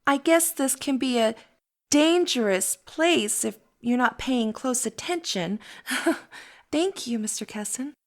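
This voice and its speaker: An actress playing a polite, soft-mannered lady guest in an audio drama. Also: high voice, animated, slow, exaggerated voice.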